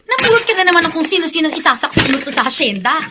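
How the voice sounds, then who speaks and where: Radio soap jealous mansion villainess, high-pitched, swooping, sneering delivery. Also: female voice, very animated, rapid-fire, loud music behind the voice. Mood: scornful, threatening. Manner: sneering, sharp-tongued. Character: haughty, jealous, scornful rich young woman. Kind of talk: Filipino radio soap acting.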